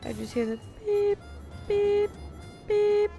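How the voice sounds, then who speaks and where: A woman's voice: very high voice, sing-song, slow. A woman streamer giving casual, playful gameplay commentary, reacting with self-deprecating humor. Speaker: video game streamer. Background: game music.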